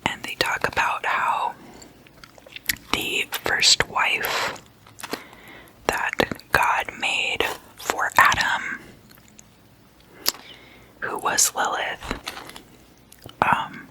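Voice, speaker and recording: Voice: extremely slow, pauses often, pushed and bright, poor audio. An ASMR host telling stories in a whisper, in a casual, gossipy, conversational manner.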